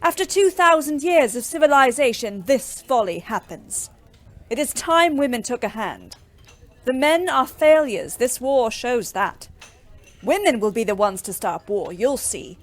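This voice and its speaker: An actress playing a bitter, outspoken young ambulance driver in a wartime audio play, scathing and emphatic. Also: female voice, fairly high pitch, lively intonation, unhurried. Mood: angry, scathing. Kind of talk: audio drama acting.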